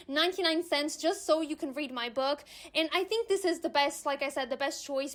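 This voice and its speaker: A young woman, a native Portuguese speaker, chatting candidly to camera about her writing, with frequent fillers and emphatic asides. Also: high-pitched. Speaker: vlogger, aspiring author. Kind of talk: casual chat to camera.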